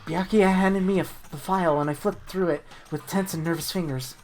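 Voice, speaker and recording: Low-pitched woman reading a game aloud. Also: fairly quick. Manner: expressive, stumbling over words. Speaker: reader of the protagonist's lines in a group playthrough. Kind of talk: amateur dramatic read-aloud of a visual novel game. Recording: music playing behind the voice. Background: game music.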